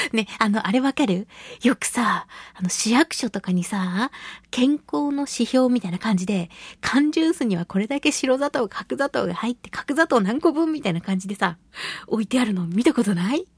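High, breathy woman chatting on radio. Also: moderate pace, no echo. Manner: chatty, giggly, expressive with acted asides. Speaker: radio show host and voice actress. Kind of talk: casual solo radio talk, reading listener mail.

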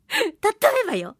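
A woman's voice: extremely high pitch, a bit bright, no echo, studio quality. A woman hosting a solo radio show, reading listener mail in a chatty, giggly, playfully gushing way. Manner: playful, gushing, with exaggerated pleading; chatty, giggly, reacting aloud to what she reads.

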